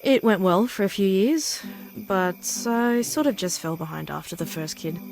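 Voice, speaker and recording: A woman's voice: mid-range voice, moderate pace, gravelly, a little mellow, faint music behind, lightly acted, poor audio. A woman playing a chatty, teasing suburban mom and old school friend in a visual novel, with a gossipy, casual delivery.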